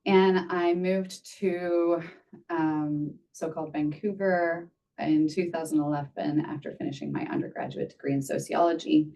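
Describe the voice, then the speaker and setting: Woman, presenter, earnest and measured, dark low voice, heard from a distance. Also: animated. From Spain. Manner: earnest, measured, with frequent fillers. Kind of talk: webinar presentation.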